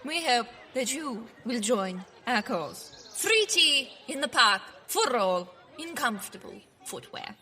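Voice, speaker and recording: A woman's voice: a little high, sing-song, slow pace, few pauses, noisy background. A woman playing a prim, genteel suffragette declaiming a formal public statement in a comic period audio drama. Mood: prim, earnest.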